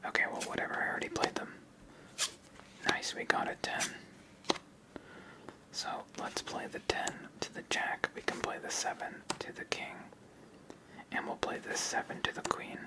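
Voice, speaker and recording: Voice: moderate pace, long pauses, low-quality recording. An ASMR host whispering commentary while playing through a tabletop card game.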